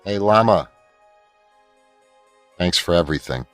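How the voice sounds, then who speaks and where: A man's voice: deep voice, animated, measured pace, no echo, faint music behind. A man acting a grumbling, sarcastic spaceship crewman in an amateur comedy radio drama, blustering and self-important. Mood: wistful, self-important.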